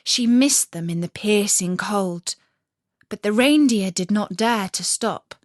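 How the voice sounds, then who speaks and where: A woman reading a fairy tale as a storyteller, with measured, expressive storybook narration. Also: mid-range voice, no room sound, studio quality.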